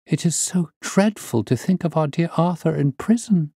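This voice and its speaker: A male narrator acts out a young English lady of a classic detective story. The voice is lifted high, earnest and politely imploring, in a dry recording. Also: normal speed, gravelly, mellow, husky, dry recording. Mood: pleading. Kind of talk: audiobook character reading.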